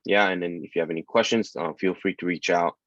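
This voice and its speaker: Man, deep voice, casual presenter, lots of ums, dry muffled lo-fi sound. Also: fast talker, dry recording. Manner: relaxed, many ums and you-knows. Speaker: workshop presenter. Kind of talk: casual screen-share tutorial. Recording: dull dry lo-fi audio.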